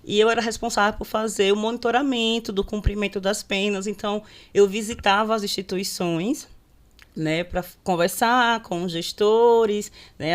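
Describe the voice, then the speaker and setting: A woman in her thirties from Sergipe, with a Sergipe Brazilian accent, telling stories in an unhurried, conversational way as a guest on a videocast, often tagging her phrases with a questioning aside. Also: medium pitch. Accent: Sergipe Brazilian Portuguese. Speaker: public servant guest on a videocast. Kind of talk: conversational storytelling in a panel discussion.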